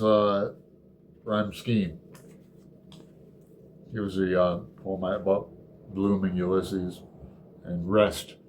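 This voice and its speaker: A man hosting a book video, talking casually in a halting, unhurried, rambling way. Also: on the low side, darkish tone, a little hiss or hum. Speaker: host of a book readalong video. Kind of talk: casual chat with poems read aloud.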